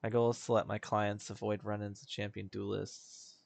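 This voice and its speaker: Low-voiced man casually commentating a video game. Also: flat delivery, moderate pace, vocal fry. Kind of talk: casual gaming commentary, reading dialogue aloud. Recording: background game music.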